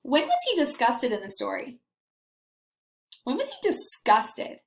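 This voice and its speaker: A woman teaching young children in a read-aloud lesson, animated and expressive as she puts questions to them. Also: fairly high pitch, measured pace, creaky, echoey room. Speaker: elementary school teacher.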